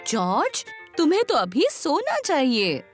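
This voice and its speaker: Kindly granny pig, swooping intonation. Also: female voice, high voice, huge pitch swings, measured pace, soft background music, exaggerated voice. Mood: gentle concern. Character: kindly, doting grandmother pig. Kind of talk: children's cartoon dubbing.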